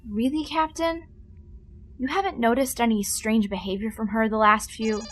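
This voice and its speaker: Woman playing a dry, higher-voiced bridge officer. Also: fairly even intonation, normal speed, a bit bright, a little hiss or hum, lightly acted. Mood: alert, matter-of-fact. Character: dry, self-possessed starship bridge officer. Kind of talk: audio drama acting.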